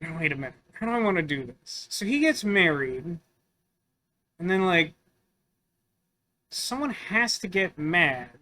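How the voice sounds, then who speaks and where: High-pitched, bright-voiced man gaming. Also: big pitch swings, a little slow, long pauses. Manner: musing, puzzling through aloud. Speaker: gaming video host. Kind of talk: casual let's play commentary, thinking aloud.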